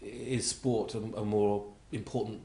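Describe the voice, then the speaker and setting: Man, interviewer, low voice, leading questions, echoey room. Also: steady tone, a little slow, creaky, dark tone. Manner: prompting, leading questions with short affirmations. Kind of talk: conversational interview.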